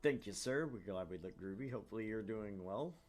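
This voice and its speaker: A man's voice: on the low side, expressive, fairly quick, over music. A man hosting a stream, playful and chatty, moving between casual talk and narrating a visual novel. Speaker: streamer, co-host.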